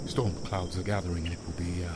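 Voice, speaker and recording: Halting, frightened castaway played by an actor, insects around. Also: male voice, low voice, fairly even intonation, very noisy, close to an everyday voice. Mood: uneasy, fearful. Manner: halting, stammering, restrained. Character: frightened, weary stranded man keeping dutiful composure. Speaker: narrator-survivor sending radio log. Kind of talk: audio drama monologue as radio log.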